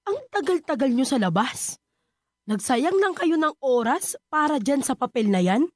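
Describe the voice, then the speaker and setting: An actress playing a harsh, mocking mother in a Filipino radio drama, with a sharp, nagging delivery. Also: high voice, lively intonation, moderate pace, dry recording, clean studio sound. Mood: scornful. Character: harsh, scornful mother with a gambling habit.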